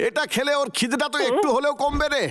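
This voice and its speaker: An actor playing a bossy, excitable older brother in a cartoon, exclaiming a lot, over loud music. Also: high voice, fast, close to an everyday voice. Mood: eager, coaxing. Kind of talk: cartoon dub acting.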